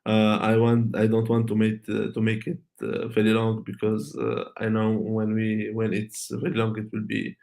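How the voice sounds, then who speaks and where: A young man whose first language is Arabic walks through a technical talk in a low, gravelly voice. He speaks haltingly and fills the gaps with many "uh"s and restarts. His pitch barely moves. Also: monotone, a little mellow. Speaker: conference speaker. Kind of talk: online tech talk with Q&A.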